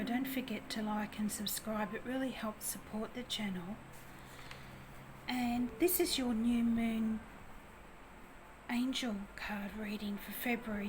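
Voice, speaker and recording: Slow, soft-spoken woman reading tarot. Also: on the high side, noisy background. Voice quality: quiet voice. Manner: gentle, soft, slow and hesitant. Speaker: tarot reader.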